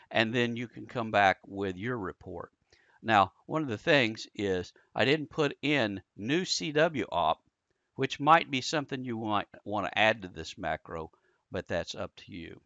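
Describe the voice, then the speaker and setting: A man giving a casual, conversational software tutorial, explaining things step by step as an instructor. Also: lowish voice, no room sound. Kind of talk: casual screen-share software tutorial narration. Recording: dull lo-fi close dry voice-over.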